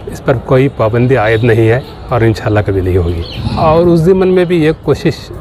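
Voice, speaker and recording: Firm male cleric amid street noise. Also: medium pitch. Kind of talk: press statement.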